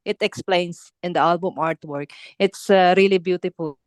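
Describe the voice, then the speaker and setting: A young woman with a Filipino accent, a singer answering interview questions in halting English, with many pauses and restarts. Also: on the low side, no room sound. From Philippines. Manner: halting, searching for words, many fillers and restarts. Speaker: band singer being interviewed. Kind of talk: casual interview chat.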